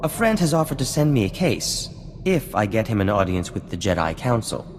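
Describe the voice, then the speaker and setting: An actor playing a vain, charming scientist in a video game, talking in grandiose, courtly phrases. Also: male voice, fairly low pitch, very animated, brisk, big-room sound, background noise. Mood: wheedling, courtly. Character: flamboyant, vain, charming male scientist and self-styled genius. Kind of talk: scripted video game acting. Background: music.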